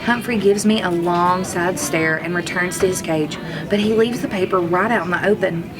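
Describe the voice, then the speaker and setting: A woman's voice: on the low side, moderate pace, a crowd in the background. Woman reading a storybook aloud, music behind. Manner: expressive, acts out characters' lines. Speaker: kindergarten teacher reading aloud. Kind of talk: children's storybook read-aloud.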